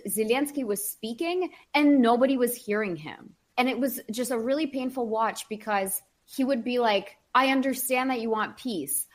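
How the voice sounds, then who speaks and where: A podcast host, a woman from Ukraine whose first language is Russian, telling stories in an earnest, opinionated way. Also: a little high. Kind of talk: casual podcast conversation.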